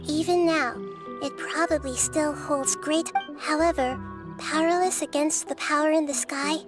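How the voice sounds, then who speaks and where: High-voiced, gentle young girl deity. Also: steady tone. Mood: thoughtful, explanatory. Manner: measured, thoughtful, explanatory. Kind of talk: scripted video game voice acting. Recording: game audio with clear music behind.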